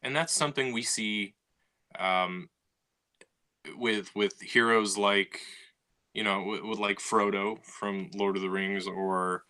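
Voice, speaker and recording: A man's voice: on the low side, steady tone, slow, pushed and bright. A man co-hosting a podcast, talking casually with many fillers and restarts as he thinks aloud. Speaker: podcast co-host. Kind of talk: casual discussion.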